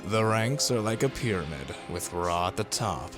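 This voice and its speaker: A man's voice: lowish voice, expressive, moderate pace, lightly acted. A man voicing a vengeful, menacing young card-duel villain in a dramatic, brooding manga style. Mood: menacing, smug. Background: background music.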